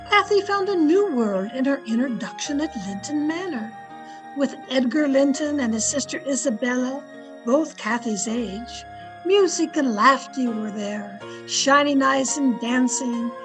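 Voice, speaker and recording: A woman's voice: sits high, poor audio. A woman playing a storyteller in an old-time radio drama, reflective and dramatic as she recalls a family's past.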